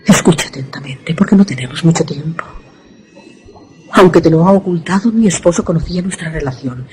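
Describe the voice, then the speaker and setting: A woman's voice: low voice, a little mellow, low-quality recording. A woman playing the ghostly projection of a dead lover in a radio drama, earnest and solemn, loving and urgent.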